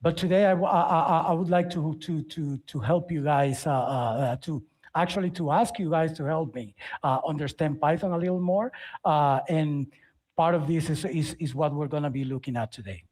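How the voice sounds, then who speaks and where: A man's voice: fairly high pitch, a little mellow. A middle-aged man speaks. He presents to a tech audience informally, feeling his way through sentences and doubling back on words. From Dominican Republic. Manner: halting, repeats words and phrases. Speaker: conference speaker, software developer.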